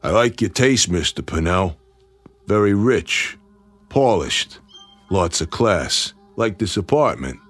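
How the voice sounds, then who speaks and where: A man's voice: low-pitched, animated, slow, soft background music, full character voice. A man acting a sardonic, menacing tough guy bent on revenge in a radio drama, terse and mocking. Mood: mocking menace. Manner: terse, mocking, hard-boiled.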